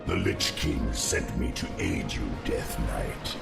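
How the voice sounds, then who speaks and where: Ancient monster king: bottomless pitch, slow gravel, solemn undead servant in a fantasy game. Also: very animated, echoey room, over loud music, heavily acted. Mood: solemn, grim. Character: ancient undead giant insect king, grim and loyal. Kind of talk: video game cutscene acting.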